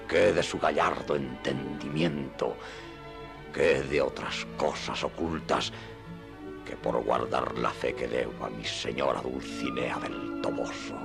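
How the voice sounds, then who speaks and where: A battered old knight-errant for radio theatre, solemn and deluded. The voice is extremely low and full of creak, unfolding slowly in a pompous, confiding murmur. Music plays behind it. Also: male voice, heavily acted. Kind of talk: radio drama acting in archaic literary Spanish.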